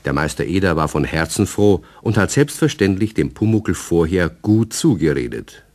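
A man's voice: low voice, big pitch swings, normal speed, darkish tone. An actor as the male narrator of a German children's radio play, telling the story in scripted narration. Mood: storytelling.

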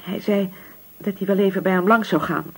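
A poor working-class widow in a radio drama. She sounds husky and anxious, grieving and submissive, often hesitating over her words. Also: mid-range voice, fairly even intonation, a little fast. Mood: subdued, sad.

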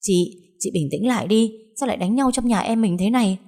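Lady doing a soft caring younger sister calming everyone, a bit higher voice. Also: fairly quick, lightly acted. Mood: pleading. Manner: soothing and pleading.

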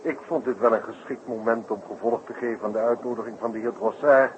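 A man's voice: mid-range voice, steady tone, fast talker, lightly acted. An actor playing a jaunty, cheeky male sidekick in a 1960s radio drama, with breezy, mock-formal banter. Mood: playfully gallant. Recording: slightly noisy, dull 1960s radio recording.

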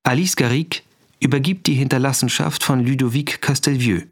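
A man's voice: medium pitch, brisk, husky. A man announcing scene headings as a factual narrator in a German radio drama. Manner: factual, dateline-like.

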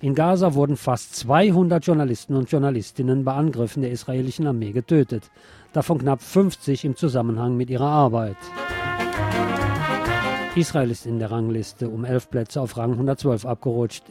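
Guy reading news on the radio, middling pitch, music behind him. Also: normal speed, warm tone. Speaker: radio presenter. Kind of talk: scripted news reading, later live eyewitness report.

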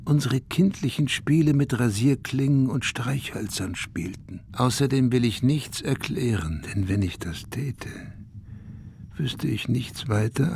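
An actor playing a brooding, reflective narrator from a dying town, in a measured, literary radio play monologue that drops to a hush in places. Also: male voice, mid-range voice, gravelly, a little hiss or hum.

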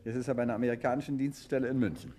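An actor playing a confident detective in a 1950s radio drama, relaxed and teasing. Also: male voice, medium pitch, rapid-fire, natural voice.

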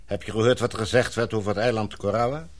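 Actor as deep-voiced, authoritative older father. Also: fairly even intonation, fast, lightly acted. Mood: serious, brisk. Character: older authoritative English knighted father, gloomy yet affectionate. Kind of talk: radio drama acting.

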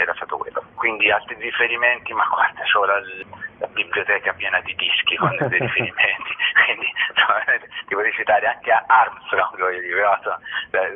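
Casting: male author interviewee, deep and raspy, hesitant delivery, phone-line audio. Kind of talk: casual radio phone interview. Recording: muffled narrow-band telephone line.

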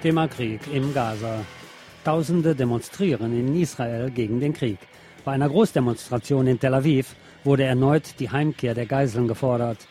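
A man reading scripted news in a measured, formal manner. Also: mid-range voice, music in the background. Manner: measured, formal read-out delivery. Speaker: news reader.

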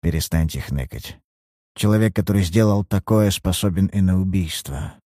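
A man voicing a blunt, cool-headed private detective in a low, quiet voice. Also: moderate pace, dry recording, clean studio sound.

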